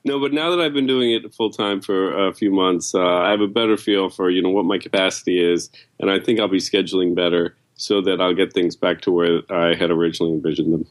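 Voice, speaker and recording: A man's voice: low voice, fairly even intonation, brisk, few pauses. A man, an editor, answering questions casually as a guest in an interview.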